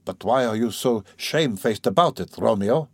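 A man's voice: medium pitch, expressive, normal speed, breathy edge, no echo. Man acting a sardonic, restless young man. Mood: sly teasing. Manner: sardonic, brooding, teasing. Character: irritable, scheming young former law student, mocking and anxious. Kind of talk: dramatised audiobook reading of a classic novel.

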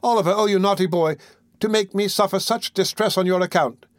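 A man acts out a scheming young woman from a storybook. The voice is raised high. She laments melodramatically, gushing with put-on distress. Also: fairly quick, dry recording, clean studio sound, close to an everyday voice. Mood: hysterical relief.

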